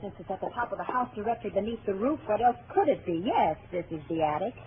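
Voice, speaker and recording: An actress playing an irritable, frightened, haughty spinster who lives alone, with sharp, sarcastic, precise diction in a 1940s radio suspense style. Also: female voice, medium pitch, animated, fast talker, close to an everyday voice. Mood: curt. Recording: tinny, noisy 1940s radio broadcast.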